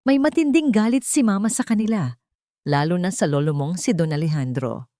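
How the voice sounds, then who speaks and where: Earnest troubled male lover for romance audio story read by a woman, lowish pitch, grave and hesitant. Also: lively intonation, moderate pace, mellow, dry recording, studio quality, lightly acted. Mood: grave, urgent. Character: earnest, worried adult man confessing in a romance drama.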